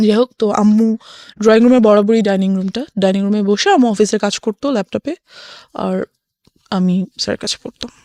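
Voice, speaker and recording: A woman's voice: medium pitch, brisk, darkish tone, no echo. A woman with a Bangladeshi accent telling her own life story as an interview guest, slipping English phrases in. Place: radio studio.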